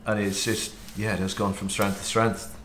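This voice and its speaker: A Welsh man in his thirties, a wedding videographer, answering interview questions in a relaxed way with frequent ums. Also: fairly low pitch, normal speed, reverberant, a little hiss or hum. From Wales. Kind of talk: casual interview. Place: home studio office, indoors.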